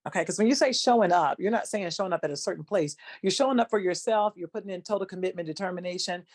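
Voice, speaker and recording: A panelist on a live video call summing up the guest's answers quickly, sounding off-mic. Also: medium pitch. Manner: fast, summing up the guest's points. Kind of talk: live online panel talk.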